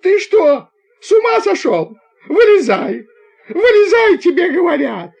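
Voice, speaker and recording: A man voicing a bossy, flustered young woman train conductor who scolds in shouted exclamations, in an audiobook story. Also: high-pitched, expressive, a little slow, highs cut off, pitched above the performer's own voice. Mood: frantic, scolding.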